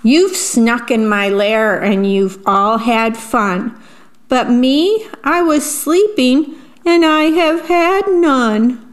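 A woman's voice: on the high side, slow pace, few pauses. A woman doing a whiny big bear. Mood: sulky. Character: big sleepy male bear, self-pitying and whiny.

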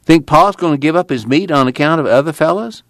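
A man's voice: on the high side, animated, brisk, no room sound, studio quality, voice pushed up. A man voicing a weary, plainspoken young farm worker with a drawl.

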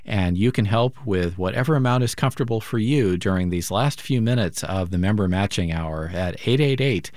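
A male radio co-host making a pledge drive appeal and thanking listeners warmly. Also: fairly low pitch, normal speed, hardly any pauses, dry recording, clean studio sound.

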